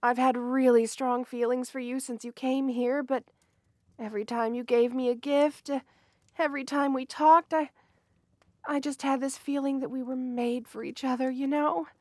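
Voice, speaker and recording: High-voiced, shy, lovestruck young woman acted by a woman. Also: fairly even intonation, moderate pace, no room sound, close to an everyday voice. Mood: flustered, affectionate. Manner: gentle, flustered, hesitant, breaking off mid-phrase. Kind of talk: intimate ASMR romance roleplay.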